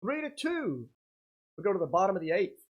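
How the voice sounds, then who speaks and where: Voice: mid-range voice, big pitch swings, brisk. A commentator calling a live baseball game in a casual, folksy, conversational way, with short exclamations between bits of chat.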